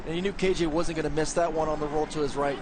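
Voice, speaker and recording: High-voiced male football analyst. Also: fairly even intonation, fast talker, noisy background. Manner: explanatory, coach-like breakdowns. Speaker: color analyst. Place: stadium broadcast booth.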